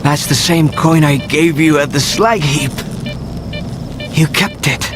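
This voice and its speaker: An actor playing a weary, fatalistic male guide in a video game cutscene, speaking in a deliberate, reflective way. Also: a little high, over music. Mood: resigned, fond.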